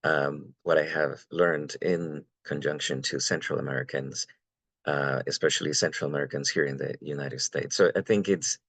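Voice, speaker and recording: A man from Venezuela speaking on an academic panel, halting with many fillers and repeated words. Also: a bass voice, steady tone, fairly bright tone, husky, no echo.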